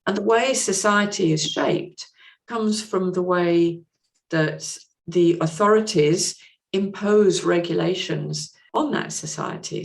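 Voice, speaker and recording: A woman speaking as a podcast guest in a measured, considered, plain-spoken conversational way about literature. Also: lowish voice.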